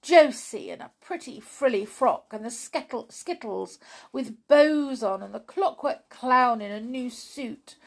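A woman acts out a sensitive little female doll from a children's storybook. The voice is high and very swoopy, tearful and prone to stammer or turn cross when upset. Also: vocal fry, full character voice.

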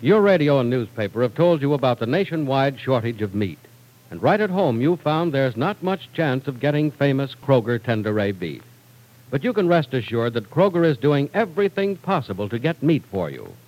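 A man's voice: medium pitch, sing-song, normal speed, darkish tone, rough recording. A man announcing in polished, emphatic 1940s radio style, reading scripted narration and sponsor copy.

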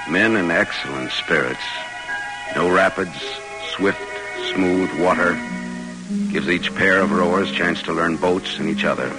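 A stoic army major leading an expedition in an old radio drama. His voice is extremely deep and his phrasing clipped and terse, like journal entries. Faint music sits in the vintage recording. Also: low-quality recording.

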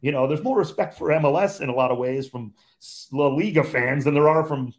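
A man's voice: fairly high pitch, fairly quick. A man who calls games on the radio, a guest on a podcast chat, talking in an emphatic, opinionated way and repeating points for stress.

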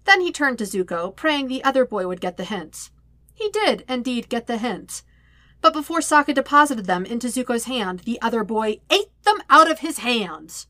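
A woman's voice: on the high side, big pitch swings, normal speed, bright, forceful tone, no echo. A woman reading scripted fiction aloud as a narrator, expressively and conversationally, with wry emphasis. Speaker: podfic narrator.

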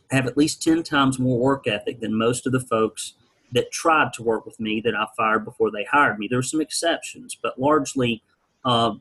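Husky guy, folksy and wry, telling his story to an interviewer. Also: mid-range voice, fairly bright tone, poor audio. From rural Alabama. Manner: wry, folksy, blunt humor. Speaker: guest, business founder.

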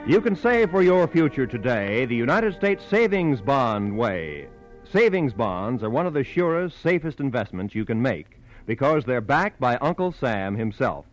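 Animated 1940s male radio announcer. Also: medium pitch, moderate pace, soft background music, low-quality recording.

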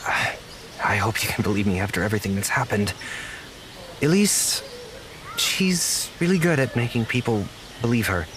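An actor playing a naive, apologetic young zookeeper in an audio drama, speaking earnestly with halting stammers. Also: male voice, fairly low pitch, lively intonation, background noise, natural voice. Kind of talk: naturalistic audio drama acting.

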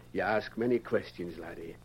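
An actor playing a kindly old Scottish falconer with a thick accent, in 1940s radio acting style. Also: male voice, deep voice, husky, exaggerated voice. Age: older. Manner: hearty, burring, fatherly. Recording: dull old radio recording with faint hiss.

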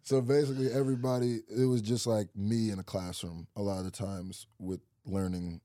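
A man's voice: on the low side, brisk, no echo, clean studio sound. A young man from Nebraska, a rapper and YouTuber, chatting as an interview guest in a loose, rambling way full of "like" and restarts. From Nebraska, USA, early childhood in Nigeria. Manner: relaxed, rambling, many likes and restarts. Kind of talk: casual interview chat.

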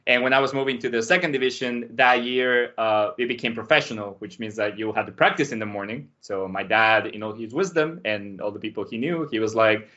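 A man from Mexico, a podcast guest, telling his life story in a casual, animated way. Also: fairly low pitch, a little fast, hardly any pauses. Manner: animated, acts out quotes with a raised voice. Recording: dull lo-fi sound.

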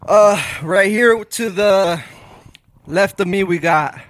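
A man with a notably high voice hosting a casual podcast, hyping up the show and its guests. He jokes around and flips between English and Spanish without pausing. His delivery is loose and playful. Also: a bit bright, no room sound. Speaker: podcast co-host. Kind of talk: casual chat.

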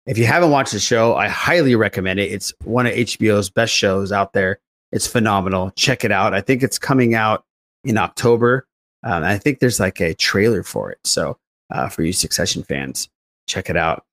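Male host for casual pop culture podcast, lowish pitch with very animated intonation. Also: moderate pace.

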